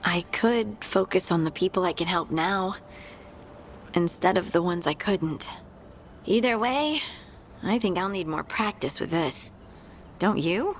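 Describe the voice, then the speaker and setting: Earnest young fighter, hesitant, slow pace, mid pitch, game cutscene, some background noise. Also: female voice, expressive. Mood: reflective. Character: earnest young female fighter unsure of her new magic. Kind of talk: video game cutscene acting.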